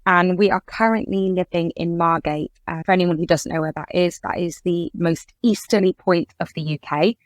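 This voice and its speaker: A woman with an English accent, in her thirties, talking casually as a podcast guest. Her voice sits a little low, and she strings her thoughts along with easy fillers. The sound is close and dry. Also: steady tone, moderate pace, few pauses, dry recording. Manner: chatty, fills with "like" and "I guess". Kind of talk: casual interview chat.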